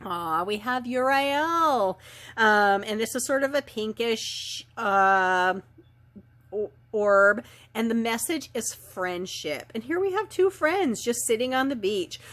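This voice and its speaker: Hoarse woman with a cold, slightly gravelly; gentle, rambling oracle reader. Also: fairly high pitch, lively intonation, slow pace. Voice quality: slightly gravelly, raw throat. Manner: soft spiritual talk with many ums and trailing phrases. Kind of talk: daily oracle card reading, chatty and unhurried. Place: indoor filming setup lit by ring lights.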